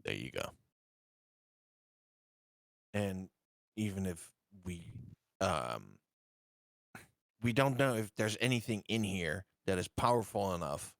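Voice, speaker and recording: Player, male, lowish voice, dry room, careful and questioning. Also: gravelly, no echo. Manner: cautious, reasons through questions aloud. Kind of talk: casual tabletop play talk.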